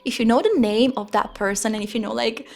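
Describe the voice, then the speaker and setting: A woman's voice: fairly high pitch, fast, creaky. A woman with an Italian accent and Italian as her first language gives a rambling, hesitant voice-over for a game build video, often searching for words. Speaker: Sims speed build creator. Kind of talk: casual voice-over commentary. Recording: voice-over with faint music bed. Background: soft background music.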